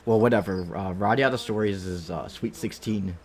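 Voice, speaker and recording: A man's voice: lowish voice, moderate pace. A man in his late twenties chatting casually and playfully as he streams a game, rambling and interrupting himself. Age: late 20s. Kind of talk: casual live gaming commentary and chat.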